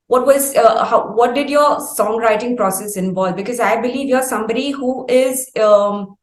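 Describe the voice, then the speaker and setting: A woman's voice: a little high, normal speed, reverberant. A woman with an Indian accent conducting a conversational interview over a video call, speaking in long, winding, wordy sentences. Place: indoor room on a video call.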